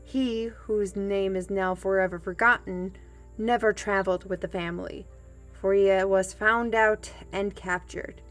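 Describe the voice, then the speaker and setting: An unhurried, folksy trail guide played by a woman from a fantasy audio drama, humble and plain-spoken. The phrasing is old-fashioned and a little hesitant, like a storyteller on the road, with music playing underneath. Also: medium pitch, fairly even intonation, lightly acted. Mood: storytelling. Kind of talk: audio roleplay acting. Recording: music bed behind the voice.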